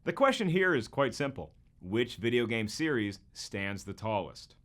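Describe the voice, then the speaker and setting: Animated, bright-voiced male show host. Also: medium pitch, moderate pace. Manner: animated, emphatic. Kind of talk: scripted entertainment commentary.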